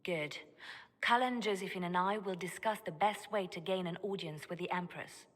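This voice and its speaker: An actress playing a composed, secretive spymaster advisor in a video game, measured and serious, with long hall reverb. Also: female voice, fairly low pitch, natural voice.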